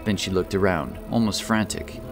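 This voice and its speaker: A man's voice: low voice, moderate pace, over music. A man narrating a fantasy audiobook as a scripted dramatic story, with intense, theatrical delivery.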